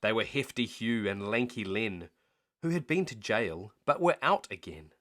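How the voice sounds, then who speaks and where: A man reading a children's bedtime story aloud in an expressive, measured, rhythmic way. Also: fairly low pitch.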